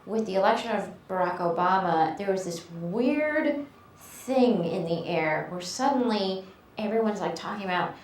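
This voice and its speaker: A woman speaking in a documentary interview, chatty and quick, who often says "like". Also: mid-range voice, animated, a little mellow. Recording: echoey room with faint noise.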